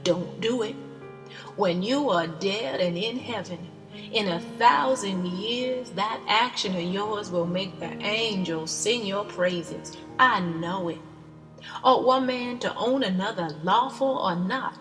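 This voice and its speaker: A woman's voice: mid-range voice, takes it slowly, lightly acted. Earnest soldier's mother in long reverb, acted by a woman. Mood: pleading, firm, then indignant. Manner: plain-spoken, insistent. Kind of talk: dramatic reading of a historical letter. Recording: long reverb, clear music behind.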